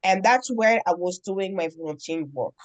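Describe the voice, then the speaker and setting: A middle-aged woman from the Democratic Republic of Congo, telling a story as a guest in an interview in an earnest, deliberate way with many pauses. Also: fairly low pitch, crowd noise. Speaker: guest, nonprofit founder.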